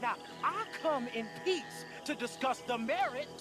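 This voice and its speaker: An actor playing a young outlaw who poses as a grandiose, awkward preacher, halting as he goes, in a western game. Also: extremely high pitch, big pitch swings, over music, full character voice. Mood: grandly pleading. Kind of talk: theatrical mock preaching in a video game.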